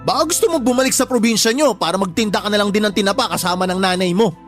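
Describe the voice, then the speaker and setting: A man acts out a young bully of the house in a radio drama, his voice pitched high. He is drunk, defiant and menacing, sneering insults and shifting blame, with music behind him. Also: quick pace, lightly acted. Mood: defensive, menacing. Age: young adult. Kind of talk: Tagalog radio drama acting.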